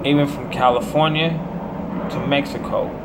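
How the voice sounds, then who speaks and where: A man's voice: medium pitch, moderate pace, gravelly, heavy background noise. An American man delivering a rambling monologue in short, declamatory, preacher-like phrases. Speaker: self-promoting musician.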